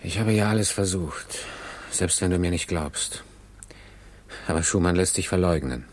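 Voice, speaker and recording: Low-voiced, earnest young idealist. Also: male voice, moderate pace. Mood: bitter. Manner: earnest, impassioned. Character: idealistic, frustrated male junior computer programmer. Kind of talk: 1960s German radio drama acting.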